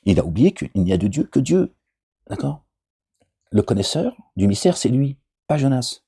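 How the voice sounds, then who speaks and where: Middle-aged male commentator: deep dark rasp, meandering preachy chat that keeps checking in with his audience. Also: big pitch swings, normal speed. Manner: digressive, asks "d'accord?" often, jokey asides. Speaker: religious commentator. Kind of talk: informal Quran commentary, rambling.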